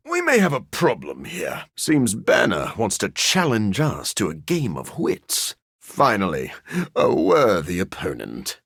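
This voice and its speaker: An actor playing a brooding, erudite living vampire scientist in a video game, speaking formally with dry, deadpan wit and a husky, breathy voice. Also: male voice, deep voice, no echo, full character voice. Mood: serious, formal. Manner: formal, articulate, dryly deadpan. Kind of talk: video game character acting.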